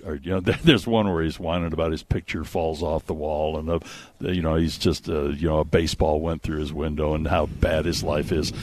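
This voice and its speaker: A man's voice: deep voice, no room sound. A man in his sixties chatting casually as a radio host, rambling through stories in a folksy way. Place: on location at a theater.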